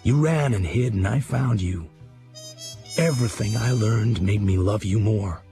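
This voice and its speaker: Gravelly, brooding hard-boiled American narrator. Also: male voice, fairly low pitch, measured pace, over music. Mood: intimate, yearning. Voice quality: husky, gravelly. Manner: terse, hard-edged, intimate. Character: brooding, hard-boiled American male crime writer narrating his life. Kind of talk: dramatised first-person scripted narration.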